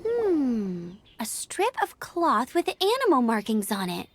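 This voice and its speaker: A woman's voice: on the high side, wildly swooping pitch, takes it slowly, slight background noise, heavily acted. A woman voicing an eager, confident young female knight scout in a video game, perky and determined. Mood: thoughtful. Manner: perky, determined, self-assured. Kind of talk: scripted video game voice acting.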